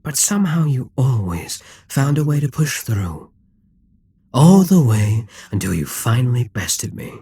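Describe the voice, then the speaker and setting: A man playing a dominant, gloating Demon King in an intimate ASMR roleplay, smug and coaxing, with a breathy, husky voice that often drops toward a whisper. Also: medium pitch, big pitch swings, measured pace, mellow, dry recording, exaggerated voice. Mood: patient, menacing. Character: dominant, gloating male Demon King toying with a captive hero.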